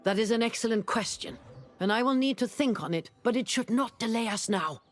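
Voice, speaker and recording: A woman's voice: on the high side, animated, brisk, faint music behind. A woman playing a wry, commanding sorceress who mentors a young hero in a fantasy video game, speaking formally and with urgency. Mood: grave, resolute. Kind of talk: video game character dialogue.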